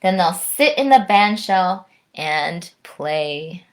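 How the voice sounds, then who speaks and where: An American woman reading aloud and chatting to young children in an expressive storytime manner. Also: on the low side, slow. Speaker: orchestral musician, guest storytime reader.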